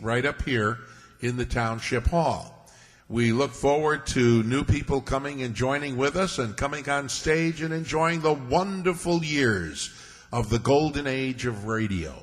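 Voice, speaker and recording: Male community troupe spokesman, earnest and inviting, medium pitch, live announcement in a reverberant hall. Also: fairly bright tone. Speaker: troupe member inviting audience to join. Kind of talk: unscripted announcement to a live audience. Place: township hall stage. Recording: echoey hall.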